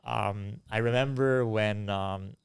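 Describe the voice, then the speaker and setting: A young man with an Italian accent talks as a musician guest in a loose, animated interview. He leans on fillers and swears freely as he tells stories. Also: lowish voice, slow, creaky, clean tone, no room sound. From Italian Canadian. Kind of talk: casual interview storytelling.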